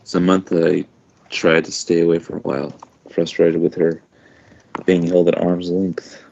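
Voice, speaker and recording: A man reading a first-person story aloud informally, halting now and then with loose asides. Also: low-pitched, completely flat delivery, vocal fry, darkish tone, slight background noise. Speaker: amateur fan fiction reader. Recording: dull lo-fi home recording.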